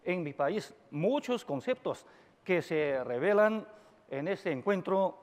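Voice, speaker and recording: Slow-speaking man, native Chinese speaker, echoey hall. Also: high voice, expressive. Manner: slow and deliberate, with many filler sounds and restarts. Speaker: invited speaker at a language forum. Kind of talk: formal conference talk. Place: large lecture hall. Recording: dull echoey hall recording.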